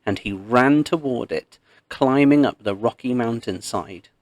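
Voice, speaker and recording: A man reading a myth aloud as a storybook narrator, expressive and measured, with pauses. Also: medium pitch.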